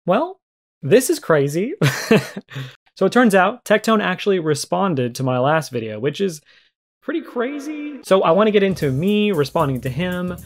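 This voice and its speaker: A man's voice: high voice, big pitch swings, moderate pace. A man giving casual, conversational but argumentative commentary for a response video, leaning on key words. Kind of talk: casual commentary to camera or mic. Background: background music.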